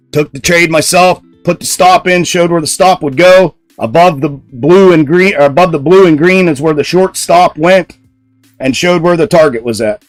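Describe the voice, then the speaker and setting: A man hosting a live stock trading stream, talking with a loud speaking voice and answering viewers bluntly. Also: a little high, no room sound, low-quality recording. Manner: blunt, answers chat comments directly. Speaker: stock trading livestream host. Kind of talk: casual livestream commentary.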